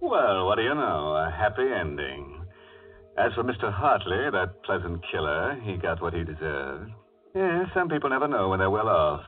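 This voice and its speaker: A man's voice: low-pitched, big pitch swings, normal speed, over music. A man playing a ghoulish horror host, mocking and tongue-in-cheek, in 1940s radio style. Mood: sardonic. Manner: sardonic, tongue-in-cheek, macabre. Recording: distant, tinny old radio broadcast.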